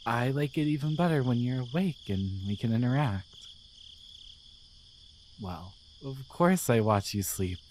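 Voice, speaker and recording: A man voicing a devoted, gentle guardian angel in an intimate romantic ASMR roleplay, speaking softly with tender, hesitant care. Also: lowish voice, a little hiss or hum, lightly acted. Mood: tender, apologetic. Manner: soft, tender, hesitant, soothing. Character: devoted, gentle male guardian angel in love with their human.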